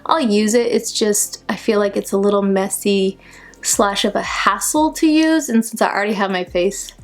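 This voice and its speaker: A woman in her thirties chatting casually while doing her makeup at home, sounding congested and rambling with soft half-whispered asides. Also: medium pitch, normal speed. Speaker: beauty vlogger. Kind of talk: casual chat during a makeup tutorial. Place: shaded room at home.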